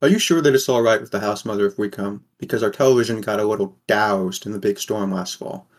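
A man's voice: fairly low pitch, fast, natural voice. A man playing a weary, wry sixteen-year-old boy from a poor mining town, narrating a fan audio drama in the first person.

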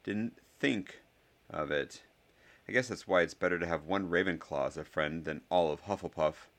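A man's voice: low-pitched, normal speed, a bit bright. Man playing Draco, a haughty drawling schoolboy. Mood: sneering. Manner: drawling, scornful.

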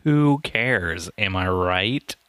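Man podcast co-host, very low, gravelly, teasing banter, dry sound. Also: animated, drawn-out words, fairly bright tone, no room sound. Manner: teasing, wisecracking. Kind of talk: casual banter on a wedding podcast.

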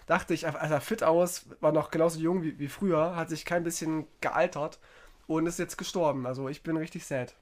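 Young guy cohost, kinda high voice, chatty, tells the news stories. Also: fairly even intonation, a little fast. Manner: chatty storyteller, brings the topics and news. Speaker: podcast co-host. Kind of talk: casual live podcast banter.

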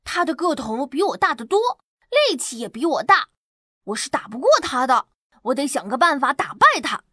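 A man's voice: very high-pitched, sing-song, normal speed, pushed and bright, dry recording, studio quality, pitched above the performer's own voice, full character voice. A man voicing a small, clever and brave boy in a children's storybook, speaking in a cheeky, taunting way. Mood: scheming.

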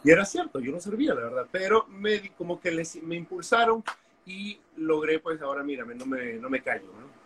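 Guy in his 30s, candid, tells his story with lots of fillers, highish voice, faint hum behind. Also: sing-song, fairly quick, fairly bright tone. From Honduras. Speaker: guest, activist. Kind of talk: interview conversation. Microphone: video call.

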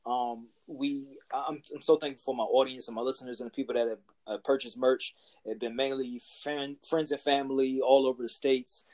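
A podcast host chats casually with a guest. The host is warmly affirming and keeps chiming in with agreement and encouragement between longer stretches of thought. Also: mid-range voice, fairly even intonation, fairly quick. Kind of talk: casual conversation.

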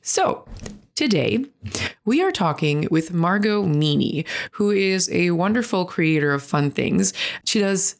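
A woman's voice: lowish voice, animated, a little slow, creaky. A woman with a Quebec accent hosting a podcast, giving a long earnest intro with fillers. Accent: Quebec French. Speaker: podcast host.

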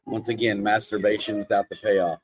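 A man co-hosting a live wrestling watch-along, trading dry, deadpan wisecracks. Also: on the low side, brisk, slightly rough, poor audio. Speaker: podcast co-host. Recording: bar crowd chatter behind.

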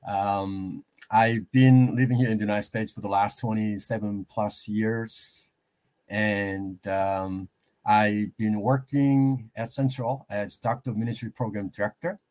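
A middle-aged man from South Korea with a Korean accent, explaining things politely and in a measured way as an interview guest. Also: lowish voice, fairly even intonation, a little mellow. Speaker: interview guest, professor and preaching pastor. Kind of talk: conversational interview.

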